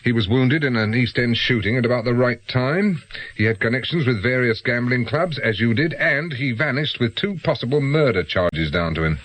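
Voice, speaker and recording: An actor playing a shrewd ex-police inquiry agent with a British accent in a radio drama, blunt and probing. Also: male voice, medium pitch, lively intonation, normal speed, a bit bright, rough recording. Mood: pressing, confident. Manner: blunt, probing, matter-of-fact.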